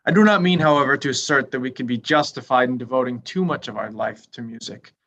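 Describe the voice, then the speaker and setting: A man's voice: mid-range voice, fast talker, fairly bright tone, close to an everyday voice. An actor playing a pompous, self-important young clergyman in a staged reading of a period drama, speaking formally and at length. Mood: self-satisfied. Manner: pompous, long-winded, formal.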